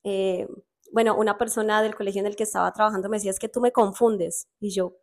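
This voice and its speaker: A woman's voice: mid-range voice, steady tone, fast. A woman with a Colombian accent hosting a podcast, asking questions in a friendly, encouraging way. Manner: friendly, encouraging, laughs along. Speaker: podcast host. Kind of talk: casual podcast interview.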